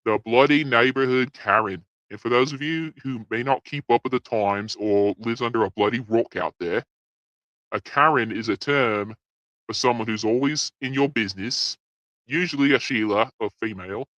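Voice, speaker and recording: A man's voice: mid-range voice, a bit bright, no room sound, muffled recording. A man hosting an advice show for men, talking in a hearty, blokey, repetitive pep-talk manner. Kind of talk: comic persona talk show.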